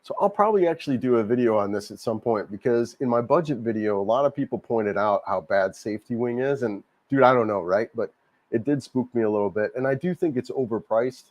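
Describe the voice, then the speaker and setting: A man hosting a casual livestream, a little hoarse from a cold, rambling and repeating himself as he answers viewer comments. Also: mid-range voice, brisk, dry recording, dull audio. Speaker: livestream host. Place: home in Cebu, Philippines.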